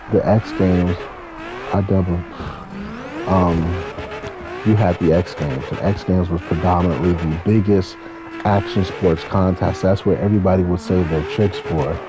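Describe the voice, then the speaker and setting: A man's voice: sits low, steady tone, moderate pace. A man, telling stories in a casual, rambling, slangy way with some swearing. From California, United States. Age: 30s. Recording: noisy, with car engine sounds behind. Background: racing game car engines.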